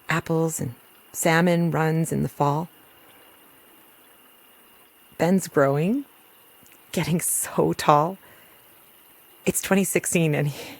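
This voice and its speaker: An actress playing a weary, dry, no-nonsense rural doctor in an audio drama. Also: female voice, low-pitched, gravelly, heavily acted. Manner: dry, deadpan. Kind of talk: audio drama acting with recorded diary narration.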